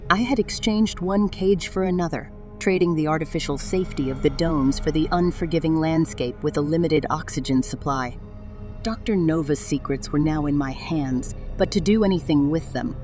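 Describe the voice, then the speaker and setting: A woman's voice: low voice, lightly acted. A woman playing a determined, persistent female reporter in a sci-fi audio drama. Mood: reflective narration. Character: determined, fearless young female reporter on Mars. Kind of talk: audio drama acting and narration. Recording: voice over loud music.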